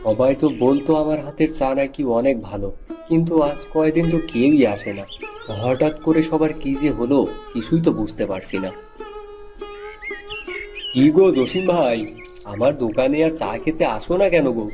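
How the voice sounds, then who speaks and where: Man voicing a humble village tea seller, mellow-toned. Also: medium pitch, expressive, moderate pace, faint music behind, lightly acted. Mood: puzzled, downcast. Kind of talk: Bengali cartoon moral-story voice acting.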